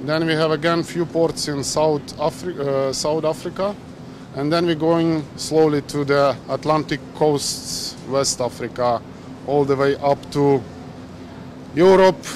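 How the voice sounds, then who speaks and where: A man, the master of a cruise ship, speaking at a press interview outdoors on a pier, with many pauses and fillers. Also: on the high side, steady tone, darkish tone, slight background noise. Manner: halting, with frequent pauses and fillers. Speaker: ship captain. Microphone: bunch of handheld press mics.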